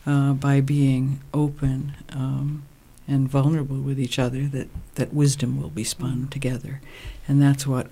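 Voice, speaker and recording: A woman of sixty-eight explaining her work as an interview guest, in a measured, halting, thoughtful way. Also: extremely low pitch, flat delivery, warm tone, slightly rough. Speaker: interview guest, co-founder of a women's program.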